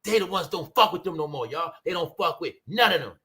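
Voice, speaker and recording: Brooklyn guy going off on his stream, cussing, high voice, bro this y'all that. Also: expressive, a little fast, pushed and bright. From Brooklyn, New York. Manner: heated and profane, keeps addressing the audience with "y'all" and "bro". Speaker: livestream host. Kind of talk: ranting livestream commentary.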